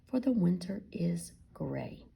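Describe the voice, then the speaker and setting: A dreamy little storybook mouse poet, voiced by a woman reader, calm and deliberate, declaims gently. The words come slowly in a mellow, slightly husky tone. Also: fairly low pitch, exaggerated voice.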